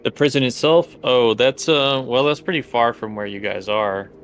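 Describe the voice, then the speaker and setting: A man narrating a tabletop game as game master, halting as he thinks aloud. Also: mid-range voice, fairly bright tone, slight background noise.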